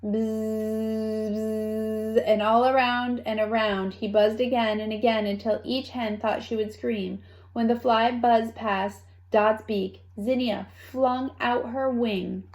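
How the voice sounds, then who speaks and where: A woman reads a picture book aloud to children. Her voice sits a little high and she takes her time, telling it expressively and adding playful asides for her young listeners. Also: level tone, takes it slowly, a little mellow. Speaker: storybook reader. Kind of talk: children's read-aloud storytelling.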